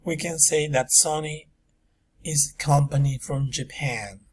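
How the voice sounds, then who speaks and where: Male English teacher, husky tone, unhurried delivery. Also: on the high side, fairly even intonation. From Peru. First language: Spanish. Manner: slow, drawn-out words for teaching. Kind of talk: recorded online English lesson.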